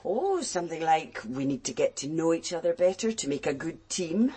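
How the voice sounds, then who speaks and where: An actress playing a cool, teasing Dutch police detective with a low, husky voice in a radio crime drama. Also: female voice, very animated, normal speed, dark tone, full character voice. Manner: dry, teasing, evasive.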